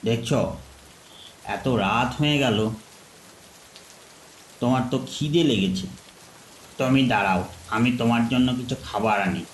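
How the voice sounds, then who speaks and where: A gentle older village man, played by a male storyteller speaks in a mid-pitched, hospitable and coaxing way. The sound is echoey, with faint rain noise behind it. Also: measured pace, pauses often, close to an everyday voice. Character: kindly insistent older village man living alone.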